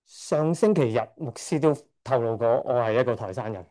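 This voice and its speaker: A middle-aged man from Hong Kong speaks at a church service with a mellow, warm voice. He is hesitant, stops often to correct himself and lets out a shy chuckle now and then. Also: medium pitch, expressive, fairly quick. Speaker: church member giving testimony. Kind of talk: church testimony speech.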